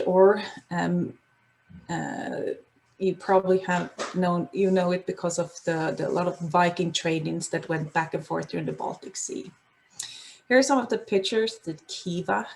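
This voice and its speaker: A woman from Sweden with a Swedish accent, presenting on a video call in a casual, halting way, with many fillers and restarts. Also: lowish voice, creaky, rough recording. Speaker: guest presenter. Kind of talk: casual online talk with slides.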